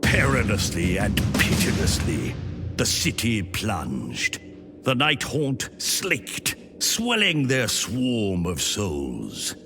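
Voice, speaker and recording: A man's voice: lowish voice, huge pitch swings, slow pace. A man delivering dramatic scripted narration for a game, solemn and theatrical. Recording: prominent music behind the voice.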